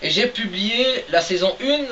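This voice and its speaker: A man's voice: high voice, expressive, unhurried, bright, forceful tone. A man co-hosting a casual podcast who talks hesitantly, with many fillers and dry jokes. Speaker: podcast co-host, writer of the story. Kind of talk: casual chat. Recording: distant mic, dull lo-fi sound, faint hiss.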